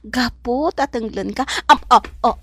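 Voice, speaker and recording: A woman's voice: fairly high pitch, unhurried. A woman acting a coy, forward female neighbour who coos flirtatiously in an Ilocano radio comedy drama, with an Ilocano accent. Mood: coy, flirty. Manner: coy, cooing, giggly.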